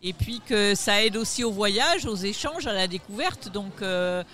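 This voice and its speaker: A woman's voice: mid-range voice, expressive, a little slow, pushed and bright. A woman language teacher answering radio interview questions at an associations fair, composed and agreeable.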